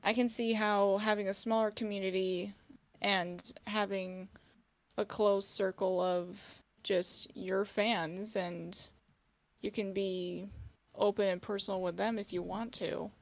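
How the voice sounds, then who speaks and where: A woman co-hosting a casual podcast, hoarse from a sore throat, talking haltingly. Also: medium pitch, fairly even intonation, highs cut off. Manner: halting, lots of likes. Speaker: podcast co-host. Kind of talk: casual chat.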